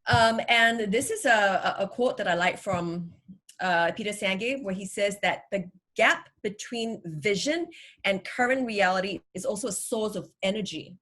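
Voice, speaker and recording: A woman from Singapore with a Singaporean accent, giving an energetic, upbeat workshop talk over a video call. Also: mid-range voice, measured pace. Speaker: workshop speaker. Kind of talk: online workshop presentation. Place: home room with virtual background.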